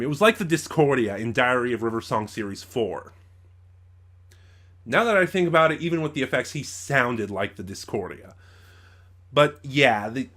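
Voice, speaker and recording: A man's voice: medium pitch, a bit bright. Male review-channel host. Mid-range voice, informal and opinionated, wanders and corrects himself as he goes. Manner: rambling, self-correcting, opinionated. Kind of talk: informal spoken audio drama review.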